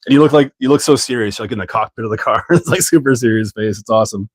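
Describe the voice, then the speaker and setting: A man from Canada hosting a hobby livestream, talking in a rambling, relaxed way full of ums while stuffed up with a cold. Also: mid-range voice, fast, breathy edge, dry recording. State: congested from a cold. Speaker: livestream host. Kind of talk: casual livestream chat while building.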